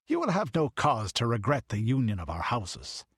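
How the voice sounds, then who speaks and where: A man's voice: medium pitch, wildly swooping pitch, normal speed, slightly rough, no echo, studio quality, heavily acted. A man playing a blunt, menacing buccaneer captain who growls his demands.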